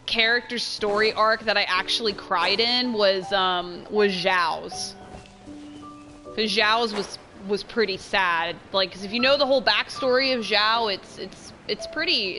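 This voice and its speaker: A woman's voice: medium pitch, measured pace, pushed and bright, soft background music. A woman giving casual let's play commentary, thinking aloud in informal, slangy speech.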